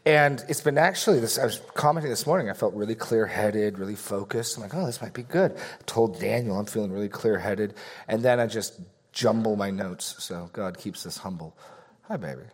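A man leading a Bible study group, teaching casually and taking questions, rambling a little, correcting himself and joking in asides. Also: fairly low pitch.

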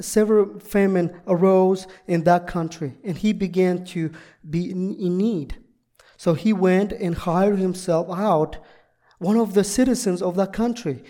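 Guest preacher, native Russian speaker, slow pace, mid-pitched, halting deliberate sermon delivery. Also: a little mellow. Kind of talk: sermon with prayer and scripture reading.

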